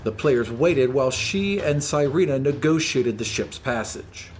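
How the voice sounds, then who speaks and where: A man with a medium-pitched voice reads scripted fantasy narration. He serves as the storyteller, with music playing faintly behind him. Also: animated, unhurried.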